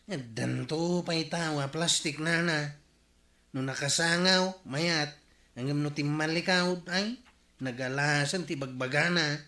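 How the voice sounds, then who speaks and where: A man's voice: on the high side, slow pace, bright, forceful tone, roomy sound, heavily acted. A man playing a teasing, chatty villager with a Filipino accent in a radio comedy drama. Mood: matter-of-fact.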